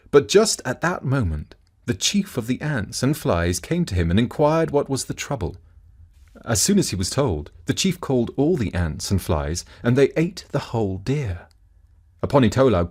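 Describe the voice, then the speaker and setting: Animated male storyteller, slightly low voice. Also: slightly rough. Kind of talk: scripted fairy tale narration for children.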